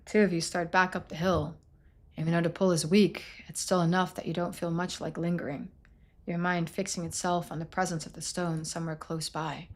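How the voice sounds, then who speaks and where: A woman's voice: on the low side, level tone, brisk. A woman game streamer, moving between casual gameplay commentary and reading out story narration.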